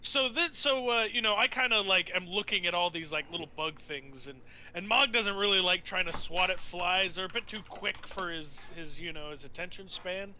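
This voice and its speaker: Bright, higher-pitched man playing a tabletop game. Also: a little hiss or hum. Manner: halting, rambling, thinking aloud with mild swearing. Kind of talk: casual chat during a tabletop game.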